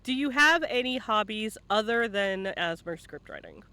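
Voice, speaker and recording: A man's voice: high-pitched, sing-song, normal speed, bright, forceful tone, no echo. A man hosting a casual live interview, teasing and jokey, often repeating phrases for fun. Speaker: interviewer, stream host.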